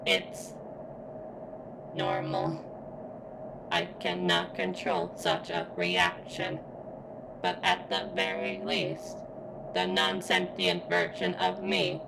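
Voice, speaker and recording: An extremely deep, slow-speaking female surveillance robot, acted by a woman for an ASMR roleplay. She is formal and halting, with a vulnerable, flirtatious undertone and a strained edge as if in pain. Gravelly fry runs through the voice, over clearly audible background noise. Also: exaggerated voice. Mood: strained, embarrassed. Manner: stilted, formal, halting phrasing with pained strain.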